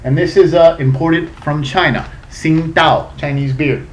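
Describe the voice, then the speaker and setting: A man's voice: a little high, fairly even intonation, a little slow, a little hiss or hum. Chatty, slightly tipsy man on a webcam. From South Florida. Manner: chatty, profane, a bit tipsy. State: slightly drunk. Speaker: beer reviewer. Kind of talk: casual webcam beer review.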